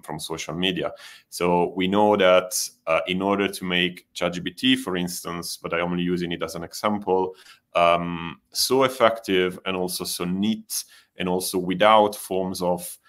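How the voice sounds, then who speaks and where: Deep-voiced Italian man, thoughtful panelist. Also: a bit bright. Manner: thoughtful, hesitant with frequent restarts. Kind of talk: panel discussion.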